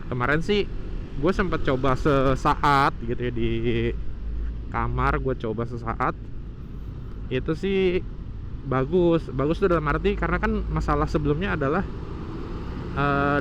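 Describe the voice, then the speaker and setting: Guy motovlogging casually, slightly high voice, thinking out loud, lots of traffic noise behind him. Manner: informal, thinking aloud. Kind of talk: casual explanatory vlog talk to viewers.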